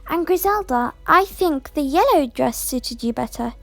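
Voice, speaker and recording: A female reader voicing a polite, wistful, put-upon teenage girl in a storybook, gentle and plaintive. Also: high voice, animated, measured pace, creaky, exaggerated voice. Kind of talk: storybook character voice.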